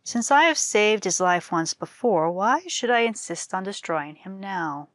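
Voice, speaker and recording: A woman's voice: medium pitch, expressive, measured pace, no room sound, clean studio sound, close to an everyday voice. A woman voicing a wronged young wife in a folktale, indignant and commanding. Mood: relenting.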